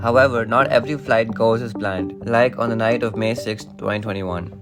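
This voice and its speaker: A man's voice: lowish voice, steady tone, normal speed. A man reading scripted documentary narration. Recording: music bed behind voice. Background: background music.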